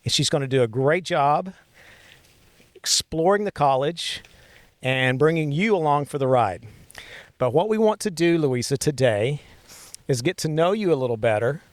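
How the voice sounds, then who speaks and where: A man's voice: fairly high pitch, animated, normal speed, pauses often, dry recording. A man producing and co-hosting a college podcast, introducing a guest and prompting her with encouraging affirmations. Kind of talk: friendly interview.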